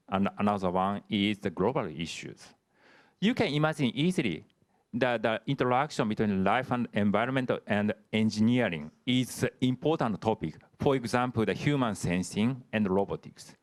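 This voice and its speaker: A man who is a professor, answering questions in an online lecture in halting speech full of restarts. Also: lowish voice. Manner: halting, frequent filler "the" and restarts.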